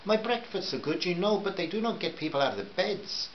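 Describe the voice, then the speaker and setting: A man voicing a shrewd, friendly Greek cafe owner in a put-on Greek accent, speaking slowly and gently. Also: high-pitched, animated, lo-fi sound, slight background noise, voice pushed up. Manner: slow, gentle, searching.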